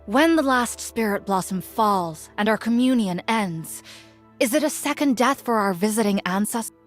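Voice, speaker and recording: An actress playing a stern, ancient woman warrior in a video game, speaking in a formal, measured and solemn way. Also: mid-range voice, expressive, faint music behind, natural voice. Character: stern, ancient female spirit-realm warrior, solemn and judging. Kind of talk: scripted game character voice lines.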